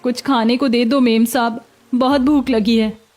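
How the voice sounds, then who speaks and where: A woman voicing a hungry young beggar woman in a cartoon story, plaintive and quick to retort. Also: on the high side, fairly even intonation, darkish tone, natural voice. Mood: pleading. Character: hungry young beggar woman, pleading yet sharp-tongued. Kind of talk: Hindi cartoon story acting.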